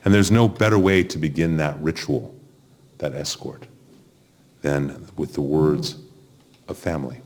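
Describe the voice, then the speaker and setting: Very deep-voiced male rabbi speaking deliberately. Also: fairly even intonation, a little mellow. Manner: measured, short deliberate phrases. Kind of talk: funeral address.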